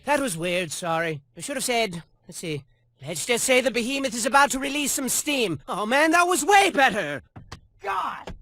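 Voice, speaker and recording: A man's voice: very high-pitched, sing-song, moderate pace, faint music behind, heavily acted. A man playing a theatrical, mock-cozy game narrator with a bombastic, whimsical delivery, telling a comedic cartoon story. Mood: awkward, goofy. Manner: bombastic, whimsical, swinging from hushed to shouting.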